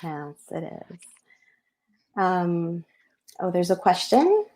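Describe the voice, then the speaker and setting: Author, woman, Spanish-first speaker, animated hesitant chat over a video call. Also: on the low side, slow pace. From United States. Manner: animated, hesitant with many ums. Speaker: picture book author. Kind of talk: casual webinar talk.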